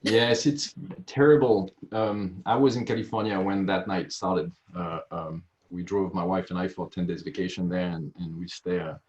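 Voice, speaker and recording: Deep-voiced man hosting a video webinar. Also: fairly even intonation, a little mellow. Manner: halting, many filler pauses. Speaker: webinar host. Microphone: video call. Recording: muffled video call audio.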